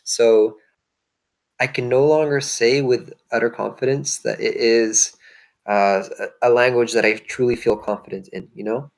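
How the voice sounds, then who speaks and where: A man, French and Hungarian by birth, chatting casually while streaming a strategy game, often pausing and searching for words. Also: medium pitch, fairly even intonation, creaky. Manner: hesitant, searching for words, many fillers. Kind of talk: casual gaming chat.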